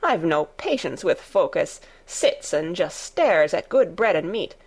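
A woman's voice: fairly low pitch, very animated, a little slow, husky, exaggerated voice. A woman voicing a good-tempered, outspoken young Yorkshire maid with a broad, homely dialect, in a storybook reading. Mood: indignant.

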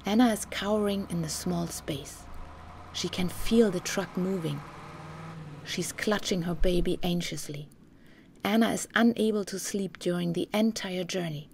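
Female narrator, unhurried and low, for dramatic hushed storytelling over light noisy ambience. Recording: clear background noise and ambience.

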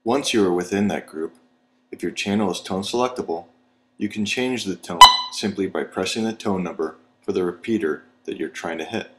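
Low-voiced male instructional narrator. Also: normal speed, over music. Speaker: training video narrator.

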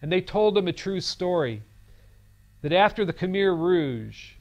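A man's voice: sits high, lively intonation, a little slow, muffled recording. A man in his fifties giving a recorded seminary lecture in a studio classroom set, speaking earnestly and telling a story on a lapel mic. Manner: earnest, storytelling, gestures while talking.

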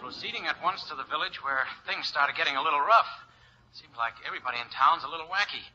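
Glib, affable American investigator, bright forceful slightly high voice, vintage radio drama. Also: male voice, fast. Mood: wry. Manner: easygoing, folksy, wry. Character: breezy American insurance detective, affable and glib. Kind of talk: 1940s-50s radio acting. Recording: dull old radio recording.

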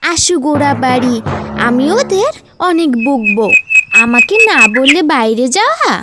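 High-voiced village mum, hot-tempered and sharply scolding, played by a woman for a cartoon, music underneath. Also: very animated, unhurried. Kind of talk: cartoon voice acting.

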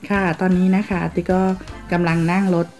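Low-voiced Thai woman vlogging from a city. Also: little pitch movement, a little fast, faint music behind. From Thailand. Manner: polite, with frequent soft sentence endings. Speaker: travel vlogger. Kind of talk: chatty travel narration. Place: outdoors in a city, partly on a tram.

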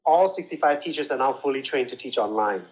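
Male interviewee, mid-range voice, conversational explainer with halting, repetitive phrasing. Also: mid-range voice, expressive, darkish tone, poor audio. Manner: halting, repeats words while explaining. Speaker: interviewee, language school manager. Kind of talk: casual explanatory interview answers.

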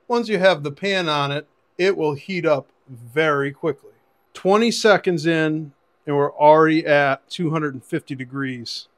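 A man in his forties giving an enthusiastic, casual, conversational product review and demonstration to camera. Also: a little high. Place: home kitchen, indoors. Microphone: clip-on lapel mic.

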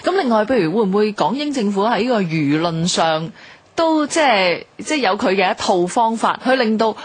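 A woman's voice: medium pitch, expressive, normal speed, husky. A woman with a Hong Kong Cantonese accent, hosting a radio history discussion and putting questions conversationally. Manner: inquiring, prompting the guests. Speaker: radio host.

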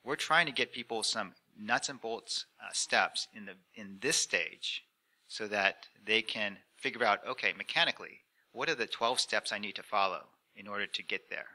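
A man with a fairly low voice and a very bright, forceful edge speaks on a conference panel. He explains things off the cuff in measured, halting phrases, posing questions as he goes into a handheld mic. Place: conference room panel before an audience. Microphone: handheld microphone.

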